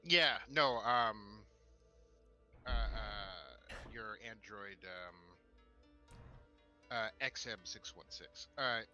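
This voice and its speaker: A man co-hosting a gaming livestream, talking informally and haltingly, with the odd swear word. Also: on the low side, bright, forceful tone, lo-fi sound, soft background music. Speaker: co-host of a tabletop role-playing talk stream. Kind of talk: casual livestream chat.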